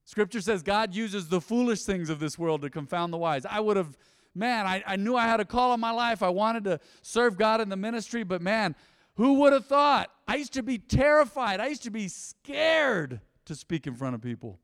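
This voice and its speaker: A man preaching a sermon as pastor, animated and conversational, with frequent asides to the congregation. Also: sits high, normal speed, smooth, big-room sound. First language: English. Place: church.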